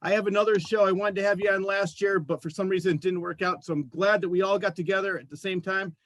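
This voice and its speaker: Higher-voiced man hosting an interview show. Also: steady tone, fast, a bit bright, no room sound. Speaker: host of a local artist interview show.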